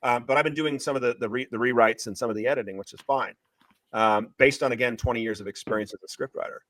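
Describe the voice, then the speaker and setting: A man talking as an interviewed author and screenwriter, retelling a story in an excitable, emphatic, repetitive way. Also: mid-range voice, fast, no room sound, poor audio. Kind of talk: animated promotional interview talk, retelling a story.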